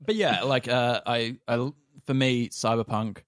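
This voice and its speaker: An Australian man in casual discussion, speaking in a halting, thoughtful way. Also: mid-range voice, fairly even intonation, no room sound, clean studio sound. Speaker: actor and podcast cast member.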